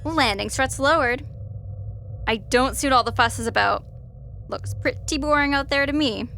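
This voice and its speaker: A woman's voice: high voice, moderate pace, vocal fry, loud music behind the voice. A woman playing a sassy, destruction-loving spaceship program, sarcastic and blunt, in a comic sci-fi audio drama with faint noise behind. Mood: bored sarcasm.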